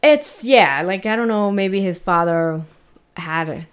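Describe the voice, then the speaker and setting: A woman's voice: medium pitch, slow pace, clear, resonant tone. Chatty woman with a Spanish-tinged accent. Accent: Spanish-influenced. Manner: casual, chatty storytelling with many fillers and laughs. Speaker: podcast co-host telling the story. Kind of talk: casual true crime podcast chat.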